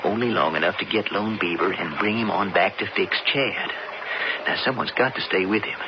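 A steady, plain-spoken cowboy, talks in a very low, gravelly voice. His manner is folksy and blunt, straight out of a radio Western, heard through a muffled recording with heavy noise. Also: moderate pace. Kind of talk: 1970s radio drama acting.

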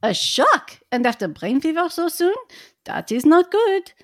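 A woman's voice: very high-pitched, very animated, measured pace, full character voice. A woman doing an effusive old Dutch professor. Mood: earnest. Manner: broken formal English, solemn and gushing. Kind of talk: reading a novel aloud in roles.

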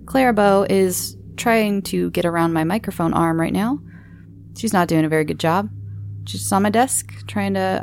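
A woman podcast host talks casually and informally, drifting from thought to thought as she answers listeners. Her mid-pitched voice has plenty of vocal fry. There is faint noise behind her. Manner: informal, rambling, chatty.

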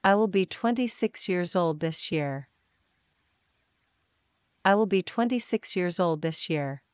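A woman teacher reads short practice sentences at a very slow, careful pace. Her voice is smooth and clear, a little low, and sits close and dry. She says each sentence twice, the same way both times. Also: expressive, no room sound. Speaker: English teacher. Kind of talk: reading example sentences for learners.

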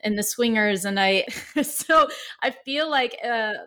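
A woman's voice: on the high side, slow, pushed and bright. A woman psychotherapist, a podcast guest, answering at length in an easygoing way, with frequent fillers and light laughs. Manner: expansive, laughs easily, many fillers.

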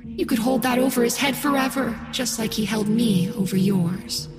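A man's voice: extremely high pitch, normal speed, voice pushed up. A man voicing a sinister living girl doll with a small, sweet voice and a whining, coaxing manner. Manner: whiny, pleading. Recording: long hall reverb, loud music behind.

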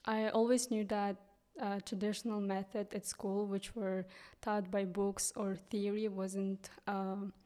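A young Kyrgyz woman talks in an unhurried, hesitant way, stopping often to search for words. Her voice is mellow and fairly flat in pitch. She speaks English as a third language, with a clear Kyrgyz accent. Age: young adult. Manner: hesitant, many ums and restarts. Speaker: guest, English teacher. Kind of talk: casual interview.

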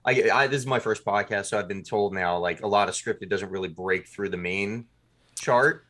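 Low-voiced man chatting in an interview. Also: moderate pace, fairly bright tone. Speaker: podcast writer-producer being interviewed. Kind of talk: casual interview chat.

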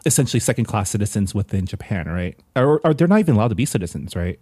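Man, podcast co-host, matter-of-fact, somewhat low voice, dry sound. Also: very animated, quick pace, mellow, husky, no room sound. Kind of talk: casual chat.

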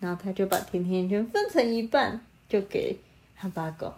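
A woman improvising a bedtime story with a child, animated and prompting with questions. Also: medium pitch, normal speed, slight background noise. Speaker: storyteller, podcast host.

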